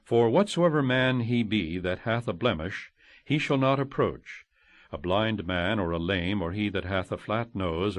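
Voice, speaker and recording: A man with a fairly low voice reads scripture for an audio Bible in a solemn, measured, declamatory way. His intonation moves widely, dropping at the ends of phrases. The sound is very dry, with no room echo. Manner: measured, declamatory, phrases ending on falling cadences. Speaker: audiobook narrator.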